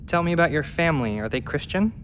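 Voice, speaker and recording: Twenty-something priest, calm, measured, gently probing, sci-fi radio play, slight noise. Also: male voice, on the high side.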